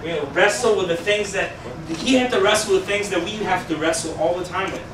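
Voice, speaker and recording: A youth pastor in his thirties talks with a stuffed-up nose in a high voice, chatting and quizzing his listeners. The voice comes from a distant camera in an echoey room, with a steady hiss underneath. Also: fairly quick. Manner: conversational, asks the audience questions, gestures widely. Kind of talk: casual youth sermon. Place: small meeting room with a youth group. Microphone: far camera, no mic.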